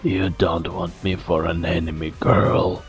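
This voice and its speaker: A man playing a mocking, bitter old scientist in a theatrical, deliberate fan-dub performance. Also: sits low, level tone, faint music behind. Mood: threatening. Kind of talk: fan-dub game drama acting.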